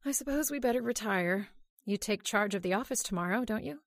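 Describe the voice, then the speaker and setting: A woman's voice: mid-range voice, animated, fairly quick, dry recording, studio quality, lightly acted. A woman playing an inquisitive, earnest wife in an old-time radio drama, in a conversational style. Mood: matter-of-fact. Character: inquisitive married woman, wistful heiress to a house.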